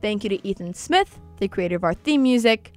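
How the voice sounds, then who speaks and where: A woman's voice: mid-range voice, brisk, soft background music. Bubbly young woman hosting a radio chat. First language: Polish. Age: young adult. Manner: bubbly, chatty, animated storytelling. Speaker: radio show host. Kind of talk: casual chat. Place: college radio studio.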